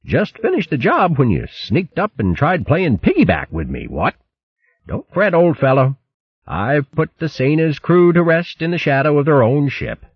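A man's voice: a little high, huge pitch swings, normal speed, husky, dry recording, pitched above the performer's own voice, full character voice. A man voicing a jolly, boastful male hare who blusters with old-fashioned exclamations. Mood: gentle. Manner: hearty, blustery, full of "what, what" bluster. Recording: muffled lo-fi recording.

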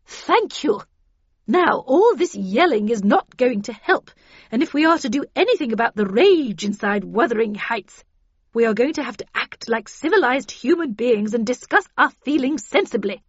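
Stern Victorian chairwoman, a woman narrator acting, high voice, admonishing authority, storybook reading. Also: expressive, normal speed, husky, dry recording, dull audio. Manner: stern, schoolmistressy. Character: stern, commanding Victorian lady chairing an unruly group.

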